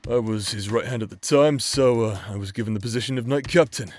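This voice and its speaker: A man's voice: mid-range voice, fairly quick, darkish tone, lightly acted. A man playing a brash, teasing knight captain, a loyal younger brother, in an anime-style romance audio drama, talking in an informal, playfully sarcastic way. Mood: exasperated. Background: background music.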